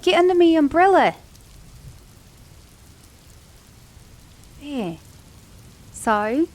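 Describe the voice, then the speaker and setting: A woman voicing a flirty, sassy, caring mechanic in an intimate ASMR romance roleplay, teasing the listener as her date. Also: a little high. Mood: scolding, concerned. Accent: British or Australian.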